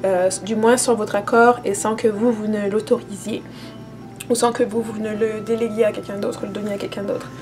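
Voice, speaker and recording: A woman speaks casually to her camera as a spiritual teacher, her voice sitting at an ordinary middle pitch. She talks hesitantly, with frequent fillers and restarts. Soft music plays quietly behind her. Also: flat delivery, normal speed.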